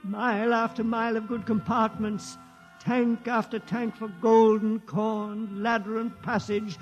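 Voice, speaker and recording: A man's voice: high-pitched, darkish tone, muffled recording. An actor playing a solemn old man who keeps a sacred legend, with ceremonial delivery, in a 1950s radio drama. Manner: slow, ceremonial, incantatory.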